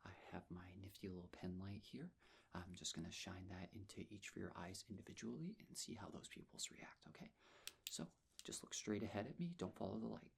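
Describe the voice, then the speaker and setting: A man softly whispering an ASMR medical roleplay as a nurse, with a breathy, gentle, reassuring delivery. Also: sits low, fairly quick. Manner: gentle, reassuring, personal attention.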